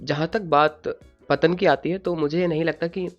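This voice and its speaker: A man's voice: on the high side, fairly even intonation, normal speed, dull audio, faint music behind. A man from Bundelkhand answering an interview, hesitant and searching for words. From Bundelkhand, India.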